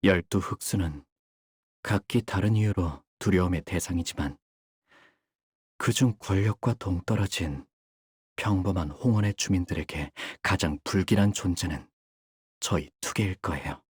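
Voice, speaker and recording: An actor playing a young, bird-like warrior in a game, polite and formal in speech yet bloodthirsty, with theatrical delivery. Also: male voice, sits low, moderate pace, darkish tone, slightly rough, no echo, clean studio sound. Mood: calm menace. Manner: polite, formal speech breaking into fierce intensity. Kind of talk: Korean game character voice lines, theatrical.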